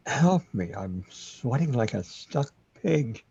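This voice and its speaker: Man acting a husky, slow, panicking man. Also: mid-range voice, big pitch swings, mellow, highs cut off, full character voice. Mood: desperate, panicked. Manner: faltering, repeating, pleading. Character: panicking man lost in the void, desperate and fretful. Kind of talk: radio play acting.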